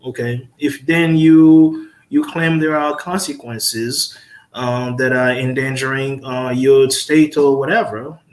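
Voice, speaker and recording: A man from the Congo speaks as a guest lawyer on a panel. He stops often for fillers and pushes his points with emphatic asides. His voice sits at a middle pitch and carries a clear room echo. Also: fairly even intonation, slow pace. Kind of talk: casual panel discussion. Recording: roomy echo.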